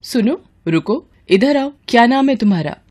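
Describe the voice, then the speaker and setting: A woman's voice: on the high side, animated, moderate pace, darkish tone, voice pushed up. A woman voicing a kindly, generous female senior officer in a cartoon story, speaking in an affectionate, assured, welcoming manner. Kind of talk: Hindi cartoon story dialogue.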